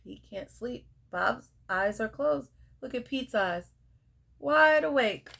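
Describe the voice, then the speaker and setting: A young woman reading a picture book aloud to children, sing-song and pausing to ask the listener questions. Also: fairly high pitch, animated, gravelly, a bit bright. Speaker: storyteller.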